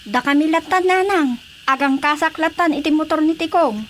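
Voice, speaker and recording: A woman's voice: high-pitched, fairly even intonation, moderate pace, fairly bright tone, slight background noise. A woman with an Ilocano accent playing an eager, reassuring youngster in a radio drama.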